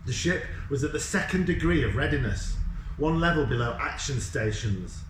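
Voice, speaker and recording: A man reads a children's story in measured, dramatic short phrases. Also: mid-range voice, lively intonation, background noise. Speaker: author reading from a children's novel. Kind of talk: storybook narration. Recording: echoey room sound.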